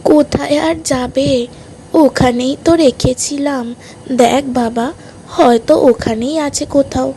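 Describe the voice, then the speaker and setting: A woman voicing a gentle, pious village mother in a cartoon, soothing and advising. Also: high voice, fairly even intonation, measured pace, a little hiss or hum. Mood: soothing advice. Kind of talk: cartoon character voice. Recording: music behind the voice.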